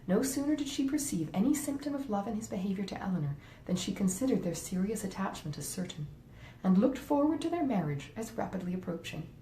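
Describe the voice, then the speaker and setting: A woman's voice: very animated, fast, dark tone. A woman reading a classic novel aloud as scripted literary narration. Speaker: audiobook reader, author reading a classic novel. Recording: roomy echo, faint rumbling noise.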